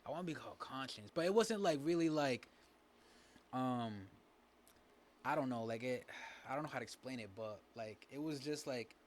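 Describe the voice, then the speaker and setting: Rambling young man, halting speech. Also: mid-range voice, expressive, slight background noise. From New Bedford, Massachusetts. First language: Spanish. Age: young adult. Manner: rambling, halting, many fillers and restarts. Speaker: guest. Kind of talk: casual podcast chat.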